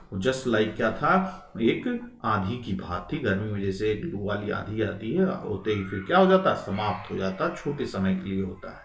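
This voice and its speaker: A man's voice: lowish voice, creaky, a bit bright, a little hiss or hum. A man teaching history quiz questions for exam preparation, posing each question, answering it and often checking in with listeners. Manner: asks questions then answers them, frequent check-in tags. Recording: echoey room, distant microphone.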